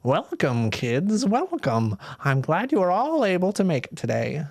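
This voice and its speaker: Guy doing a sly old witch lady from a storybook, kinda high and theatrical, sweet but menacing, very up and down. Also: huge pitch swings, a little slow, creaky, a little mellow, no room sound, clean studio sound, heavily acted. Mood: sweetly welcoming. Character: sly old woman witch, soul-eating coven leader.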